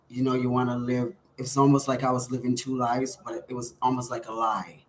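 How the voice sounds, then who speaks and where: Male vlogger, thirties, Bahamian accent, medium pitch. Candid personal storytelling, slightly noisy home-style recording. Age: 30s.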